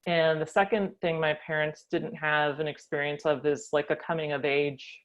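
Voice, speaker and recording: An American woman telling her family story as a guest, thoughtful with frequent pauses and ums. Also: sits low, level tone, muffled recording. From United States. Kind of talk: reflective personal storytelling.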